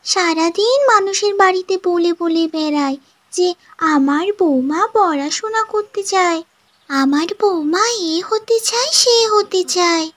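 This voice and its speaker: A woman's voice: very high voice, measured pace, a bit bright. A woman playing a mocking, snide young village gossip in exaggerated cartoon dialogue. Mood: scornful.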